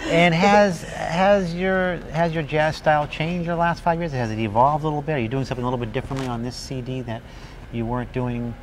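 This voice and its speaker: A man interviewing a singer, quick and upbeat, chiming in with short reactions. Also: on the high side, fairly even intonation. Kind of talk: casual interview. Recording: noisy background.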